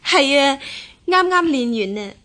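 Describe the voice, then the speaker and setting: A woman's voice: high-pitched, animated, a little slow, sharp tone, exaggerated voice. An actress playing a confident, well-born young woman in a historical radio drama, self-assured, with a Hong Kong Cantonese accent. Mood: dutiful, reasoning. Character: confident young gentlewoman, martial-minded daughter of official's household. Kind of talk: radio drama acting in historical costume piece.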